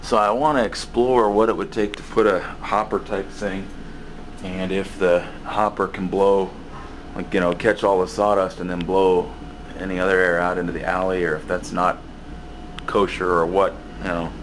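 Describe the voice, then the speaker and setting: Low-voiced man rambling through a shop tour. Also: moderate pace, slight background noise, rough recording. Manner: rambling, relaxed, many fillers and restarts. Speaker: building maintenance worker giving a shop tour. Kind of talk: casual walkaround tour. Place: cluttered basement workshop, indoors. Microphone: handheld camera mic, speaker off screen.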